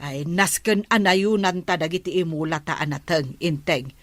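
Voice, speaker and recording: A woman's voice: lowish voice, moderate pace, close to an everyday voice. A woman acting a practical, matter-of-fact village wife in a radio comedy, with an Ilocano accent. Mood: approving.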